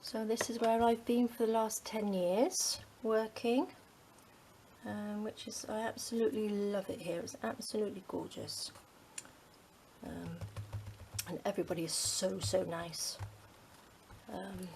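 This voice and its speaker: A middle-aged woman from the UK, chatting casually to her craft channel, rambling with many ums and dropping to a soft near-whisper as she works. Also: mid-range voice, steady tone, a little slow, a little hiss or hum. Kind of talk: casual chat while crafting.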